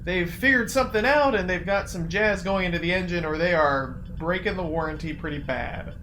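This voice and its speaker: A man's voice: high voice, fairly quick, bright, forceful tone. A man playing a tabletop role-playing game, talking in casual, colloquial, joking banter. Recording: noticeable background noise.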